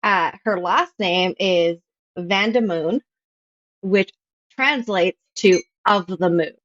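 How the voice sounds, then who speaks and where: A woman's voice: on the low side, takes it slowly, a bit bright, dry recording. Frank, chatty woman on a dull mic. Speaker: guest player. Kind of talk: casual interview answers.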